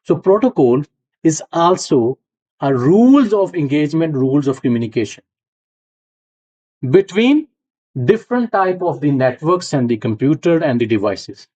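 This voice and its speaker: A man's voice: on the high side, big pitch swings, moderate pace, mellow, muffled recording. A middle-aged man from Punjab with a Punjabi accent, giving a university lecture and explaining ideas step by step while checking in with his students. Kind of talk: classroom lecture.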